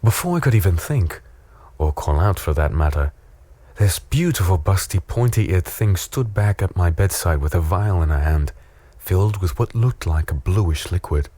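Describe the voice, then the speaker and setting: A man playing a battered, weary human in a dark fantasy audio drama, wry and fatalistic as he tells his story. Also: deep voice, lively intonation, normal speed, no echo. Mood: hushed recounting.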